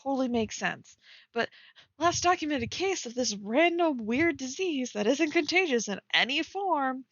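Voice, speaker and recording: Higher-pitched young woman, rambling and tentative. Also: animated, normal speed, fairly bright tone, dry recording. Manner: rambling, tentative, heavy use of "like". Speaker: co-host reading and critiquing fan fiction. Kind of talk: casual chat with read-aloud passages. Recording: dry, slightly dull lo-fi mic.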